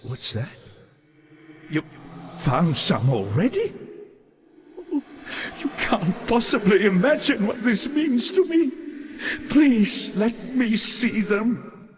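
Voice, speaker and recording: A melancholy, bookish undead gentleman in a fantasy game, speaking slowly in ornate, earnest phrases. His theatrical delivery rises and falls widely, and it rings through a long, reverberant hall. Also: high voice, sing-song, exaggerated voice. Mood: overjoyed, tearful. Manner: formal, ornate, halting and earnest. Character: courteous, lonely undead male scholar, bookish and melancholy. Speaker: non-player character in a fantasy video game. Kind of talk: theatrical video game character acting. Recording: long reverberant hall, background hiss and ambience.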